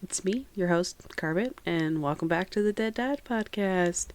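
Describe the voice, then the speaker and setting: A woman's voice: on the low side, animated, a little slow, a little hiss or hum. A young woman hosting her own podcast from home, chatting casually and rambling on, her voice nasal from a head cold. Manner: chatty, rambling, self-aware about yapping. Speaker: podcast host. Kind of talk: casual solo podcast chat.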